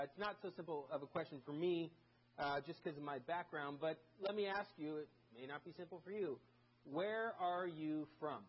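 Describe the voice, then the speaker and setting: A man's voice: on the high side, slightly rough, highs cut off. A man who grew up in Germany, a guest preacher giving a casual, conversational sermon full of stories and self-deprecating asides. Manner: chatty, self-deprecating, many restarts and fillers.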